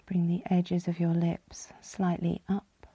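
A woman leading a guided yoga nidra relaxation as the guide, calm and soothing, with a soft, breathy, husky voice. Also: on the low side, slow, dry recording. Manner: calm, soothing, gently instructing.

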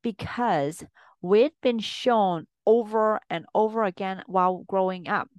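A woman's voice: medium pitch, measured pace, clear, resonant tone, dry recording. Woman from hong kong doing a solo podcast, talks it through earnestly, dead dry sound. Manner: earnest, conversational, repeats points for emphasis. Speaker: podcast host and life coach.

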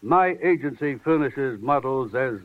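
An actor playing a pompous, haughty, evasive agency boss with affected formal speech in 1940s radio drama style. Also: male voice, mid-range voice, expressive, a little slow, narrow, tinny audio, close to an everyday voice. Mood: haughty, mocking. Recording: band-limited vintage radio recording.

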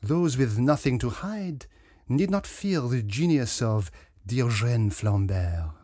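A man voicing a pompous, dapper little detective with a French accent, theatrical and deliberate, in a mystery story. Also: medium pitch, animated, mellow, no room sound, studio quality. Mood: smug, probing. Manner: pompous, self-regarding, deliberate.

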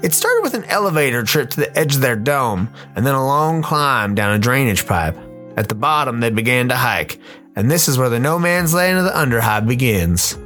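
A man hosts and narrates a scripted radio play with dramatic, wry delivery. His pitch swings in a lively way over a medium register, with faint music behind him. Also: normal speed. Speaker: host and narrator.

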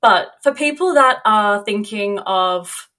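A woman's voice: mid-range voice, animated, takes it slowly, bright, forceful tone. Chatty, effusive woman podcast host. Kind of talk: podcast introduction and interview chat.

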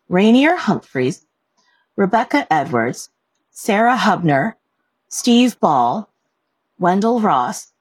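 A woman reading a written staff report aloud in a measured, careful way, like a scripted narration. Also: medium pitch, dry recording, poor audio.

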